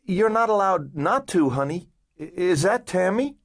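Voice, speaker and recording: An audiobook narrator voicing a gentle, coaxing woman social worker who is calmly persistent. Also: medium pitch, moderate pace, pitched above the performer's own voice, lightly acted. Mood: soft, coaxing.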